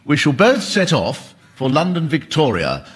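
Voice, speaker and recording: A man's voice: fairly high pitch, huge pitch swings, measured pace, husky. A man improvising comic stories on a radio panel show in a theatrical, mock-period manner, recorded live.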